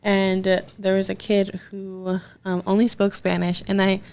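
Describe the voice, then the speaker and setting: A woman's voice: medium pitch, completely flat delivery, measured pace, a little mellow, clean tone. A young woman interviewing casually, chatty and full of "like" fillers. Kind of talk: casual conversation. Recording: faint background noise.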